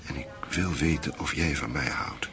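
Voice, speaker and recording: A man playing a middle-aged, passionate and frustrated lover in a radio drama, speaking in an earnest, halting, intimate way. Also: very deep voice, bright, forceful tone, exaggerated voice. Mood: hurt, insistent. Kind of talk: naturalistic radio drama acting.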